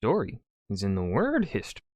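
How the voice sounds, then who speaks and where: A man's voice: on the low side, wildly swooping pitch, brisk, a little mellow, dry recording. A man hosting a reaction video with casual, joking, sweary off-the-cuff commentary.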